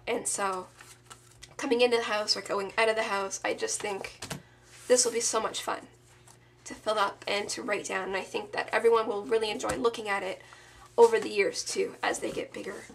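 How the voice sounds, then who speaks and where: Hoarse, gravelly-voiced woman crafter. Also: medium pitch, a little hiss or hum. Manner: relaxed, chatty, enthusiastic about her materials. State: sore throat, losing her voice. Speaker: craft vlogger showing her scrapbook. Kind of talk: casual show-and-tell.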